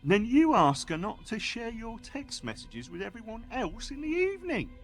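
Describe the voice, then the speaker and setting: Man acting an aggrieved office worker, animated pitch. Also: moderate pace, soft background music. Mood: scornful, incredulous. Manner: scornful, reproachful. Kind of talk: radio play monologue acting.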